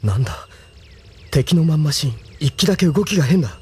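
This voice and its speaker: A man's voice: a little high, lively intonation, brisk, a little mellow, slight background noise, lightly acted. An actor playing an intense young male mecha pilot, dramatic and urgent, in an anime radio drama. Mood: wary, tense.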